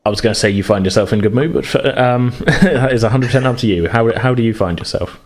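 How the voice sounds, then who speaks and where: A man narrating a tabletop game as game master, conversational and improvising haltingly as he goes. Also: medium pitch.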